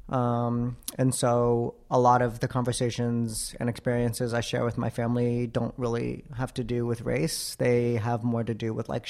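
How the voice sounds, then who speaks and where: A man in his twenties from Florida, answering interview questions in a thoughtful, hesitant way with many pauses and ums. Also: medium pitch, gravelly, a little mellow. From Florida, USA. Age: 20s. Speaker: interview guest. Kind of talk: casual interview.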